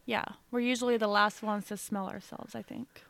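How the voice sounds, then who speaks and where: A woman's voice: medium pitch, steady tone, moderate pace, vocal fry, fairly bright tone, no echo. A woman co-hosting a podcast, chatting casually in an easygoing, agreeable way. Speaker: podcast co-host. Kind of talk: casual chat.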